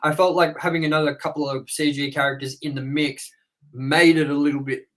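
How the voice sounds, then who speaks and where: A man's voice: fairly high pitch, fairly even intonation, brisk, a bit bright. A man co-hosting a casual movie review chat, rambling with lots of fillers and "you know". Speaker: co-host.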